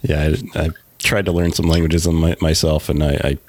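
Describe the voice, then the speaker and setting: A man hosting a casual podcast interview, speaking in a halting, hesitant way. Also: a bass voice, level tone, darkish tone, a little hiss or hum. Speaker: podcast host.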